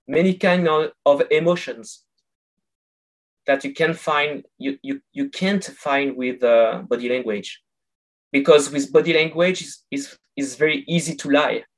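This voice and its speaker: A man who speaks English as a second language with a non-native accent, explaining things haltingly in a conversational interview as a voice coach. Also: medium pitch, poor audio. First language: not English. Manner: halting, explanatory, searching for words.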